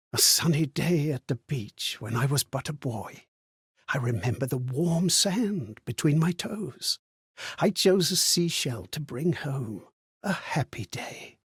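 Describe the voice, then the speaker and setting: An actor playing a frail, forgetful old man in a video game, anxious and kindly, with a hesitant, plaintive delivery and a husky, breathy voice. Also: fairly high pitch, no room sound, studio quality, exaggerated voice. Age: older. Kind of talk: theatrical video game character acting.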